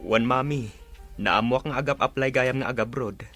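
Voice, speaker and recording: A man's voice: mid-range voice, normal speed, lightly acted. An actor with a Filipino accent playing an earnest young father in a radio drama. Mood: subdued, wistful. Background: loud music.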